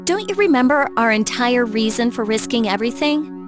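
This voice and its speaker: Actress as resolute, higher-voiced young woman. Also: lively intonation, a little fast, lightly acted. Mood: firm, urging. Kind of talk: anime video game voice acting. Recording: music behind the voice.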